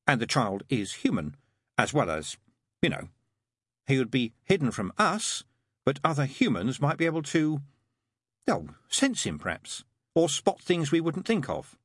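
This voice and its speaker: A man voicing a fussy, prim, gentle angel in an audiobook, speaking in precise, proper, earnest phrases. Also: medium pitch, huge pitch swings, normal speed, slightly rough, dry recording, studio quality, pitched above the performer's own voice. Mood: insistent.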